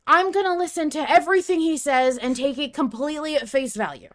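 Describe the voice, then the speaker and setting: A woman with a fairly high voice talks as a podcast co-host. Her delivery is casual and emphatic, pressing her points like someone in a friendly debate. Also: a little fast, a bit bright. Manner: emphatic, argumentative, swears. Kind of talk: animated casual discussion of an audio drama.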